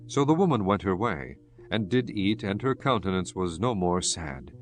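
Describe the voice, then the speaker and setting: A man narrating scripture from a script in a solemn, measured storytelling manner. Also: low-pitched, very animated. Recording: music bed behind the voice.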